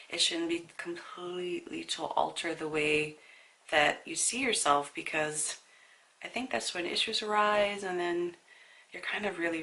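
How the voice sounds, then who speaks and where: A young woman talks the viewer through her makeup in a chatty, storytelling way, her nose audibly stuffy from a sinus infection. Her voice sits a little low for a woman, with a gravelly, fry-laden edge and a bright, forceful tone. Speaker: beauty vlogger. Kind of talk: casual makeup tutorial talk.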